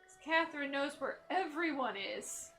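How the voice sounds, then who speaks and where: Female game streamer: high pitch, casual and playful, faint music behind her. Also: expressive, normal speed. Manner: giggly, playful. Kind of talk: casual gameplay commentary.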